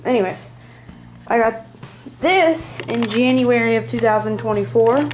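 A hobbyist giving a casual, rambling show-and-tell in a small room at home, speaking haltingly near a handheld camera. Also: mid-range voice, faint music behind, rough recording. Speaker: hobbyist presenting a radio collection. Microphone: handheld camera close by.

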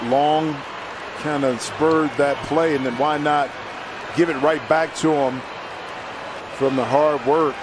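A man giving color analysis on a basketball broadcast, measured and explanatory. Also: fairly high pitch, fairly even intonation, a crowd in the background, noisy background. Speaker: color commentator.

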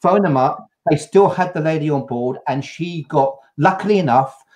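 An older man hosting a live video-call chat, telling a travel story with lively, anecdotal energy. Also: a little high, normal speed. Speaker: host. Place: home room, video call.

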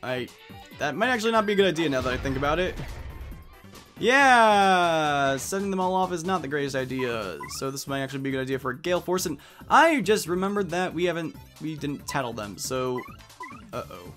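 A man's voice: fairly high pitch, animated, fairly quick, a bit bright, faint music behind. A man giving casual let's play commentary on a video game, reading dialogue aloud as he goes.

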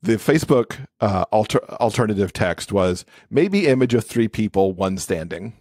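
Male interview guest, lowish pitch, conversational explainer with "you know" asides, dry sound. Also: very animated, normal speed, a little mellow, dry recording. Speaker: podcast guest, accessibility expert and author.

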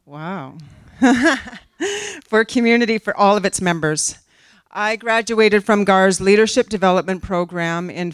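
A woman's voice: lowish voice, clear, resonant tone, no echo, clean studio sound. A middle-aged woman with a Canadian accent reading a prepared campaign speech into a handheld mic at a podium, earnest with the odd filler. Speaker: candidate for a board seat. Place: conference room, in front of a backdrop.

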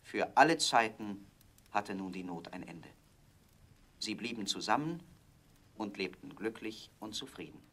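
A man narrating a scripted fairy tale for children in a measured, dramatic storytelling manner. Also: on the low side, animated.

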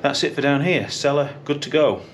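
A man's voice: on the high side, brisk, background noise. A British man giving a casual, matter-of-fact running commentary as a pub landlord in a behind-the-scenes vlog. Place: inside a pub and its cellar.

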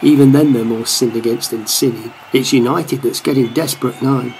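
Guy acting wry footy fan telling the match story in a radio play, creaky gravelly voice, bit of noise. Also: mid-range voice, steady tone, normal speed, darkish tone, close to an everyday voice, rough recording. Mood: dry commentary. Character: wry, devoted football supporter narrating a match. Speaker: narrator.

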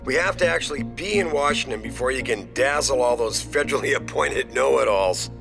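An actor playing a brisk, impatient company boss in a video game, bossy and creaky. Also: male voice, medium pitch, animated, music in the background.

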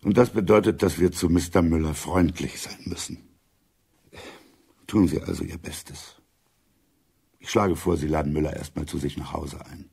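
An actor playing an urbane, authoritative intelligence chief in a German radio drama, speaking in a measured, deliberate way. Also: male voice, rumbling low, steady tone, gravelly, a little mellow, husky, full character voice. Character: senior intelligence chief, urbane, matter-of-fact, authoritative older man.

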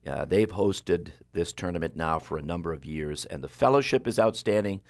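Older guy hosting radio, really deep voice, dry headset mic, steady announcer pace. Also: no echo. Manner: warm, measured announcer delivery with slow-read phone numbers. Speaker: radio show host. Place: small broadcast studio.

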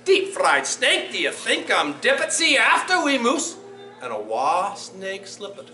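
A hungry, wily storybook predator, played by a man, talking Scots dialect in a high, bright voice. It is recorded in an echoey room. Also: huge pitch swings, unhurried, distant mic, faint music behind, heavily acted. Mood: alarmed. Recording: echoey room, faint noise.

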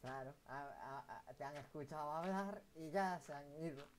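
A man's voice: a little high, moderate pace. A young man with a Galician accent, bantering and teasing casually while streaming a game. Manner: teasing, joking, mixes Galician and Spanish. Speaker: gaming streamer. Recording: clear background noise on voice chat.

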